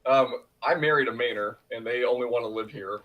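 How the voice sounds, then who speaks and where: A man's voice: mid-range voice, fairly quick, fairly bright tone. A man from Texas chatting casually as a podcast guest, with a joking manner. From Texas, United States. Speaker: podcast guest, band member. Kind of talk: casual chat. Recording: distant mic with background noise.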